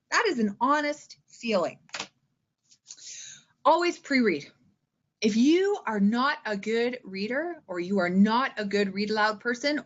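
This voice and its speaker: A woman's voice: high voice, fairly bright tone, highs cut off. A woman speaking as a teacher in an informal instructional talk, with an emphatic, theatrical delivery that often checks in with the listener. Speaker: schoolteacher giving reading-aloud tips.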